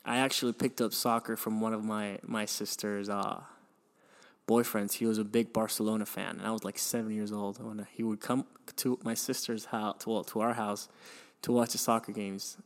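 Young male podcast host, low and level voice, reflective and halting, from Venezuela. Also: little pitch movement. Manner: hesitant, many restarts and fillers, sighs. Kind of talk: reflective personal storytelling.

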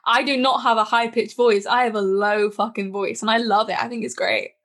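A woman in her early twenties chatting on a podcast, her voice slightly high. She talks with animation and breaks into laughter often. The tone is loose and friendly. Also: fairly even intonation, brisk, fairly bright tone. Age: 20s. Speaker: podcast co-host. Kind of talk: casual podcast chat.

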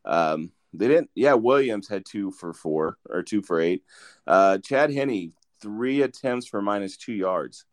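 Low-voiced man chatting football stats. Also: expressive. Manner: rattles off game stats, halting with fillers. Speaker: podcast host. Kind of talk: casual sports chat.

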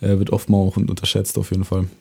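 A young man, a singer-songwriter, talking in a relaxed way with many fillers and a voice that trails off softly. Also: low-pitched, fast, creaky, slightly rough. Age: young adult. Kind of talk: casual interview chat.

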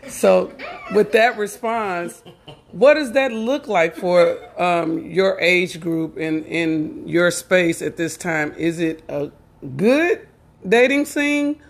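A woman hosting a casual interview podcast, drawing out her guests and affirming them with quick okays. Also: fairly low pitch. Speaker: podcast host.

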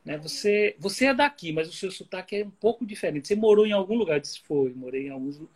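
A man's voice: high voice, animated, quick pace, slightly rough. A man from Bahia whose accent has picked up a Pernambuco tone, explaining at length in a casual conversation, heard over a video call. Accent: Bahian Portuguese mixed with Pernambuco. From Bahia, Brazil. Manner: explanatory, thinks aloud with restarts. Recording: video call audio with lag.

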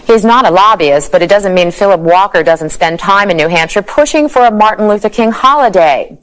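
A woman's voice: medium pitch, expressive, fairly quick, a little hiss or hum, rough recording. A woman narrating a scripted broadcast news report as a reporter.

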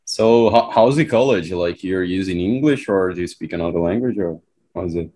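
Very deep-voiced, enthusiastic podcast host. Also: male voice, fairly quick, mellow. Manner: enthusiastic, loose, says bro and man. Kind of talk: casual conversation.

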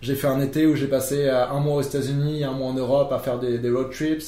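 French man with a mellow voice. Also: mid-range voice, little pitch movement, quick pace. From France. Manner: explanatory, many fillers and restarts. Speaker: podcast guest. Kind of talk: casual podcast conversation. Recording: echoey room.

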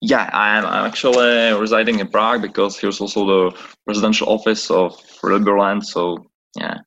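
A man's voice: lowish voice, level tone, normal speed, gravelly, a bit bright, muffled recording, a little hiss or hum. A man from the Republic, a podcast guest who talks hesitantly and searches for words. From Czech Republic. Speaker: guest, chief of staff. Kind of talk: podcast interview. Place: office in Prague, on a call.

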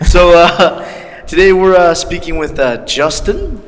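Young male podcast host, animated and laughing; reverberant room with noise behind. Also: high-pitched, measured pace. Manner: loose, laughing, lots of fillers and swearing. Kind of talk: casual chat.

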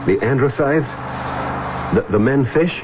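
An actor playing a wry, bewildered diver recounting an undersea adventure in old-time radio drama style, with dramatic delivery. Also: male voice, medium pitch, animated, noisy background, close to an everyday voice. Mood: alarmed. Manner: dramatic, halting when alarmed. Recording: muffled old radio recording, music behind.

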